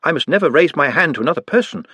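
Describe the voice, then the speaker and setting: A man voicing a thin, gentle goblin who speaks formally and apologizes a lot. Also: on the high side, expressive, very fast, husky, dry recording, voice pushed up, full character voice. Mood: earnest. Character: polite, earnest male goblin, thin and gentle.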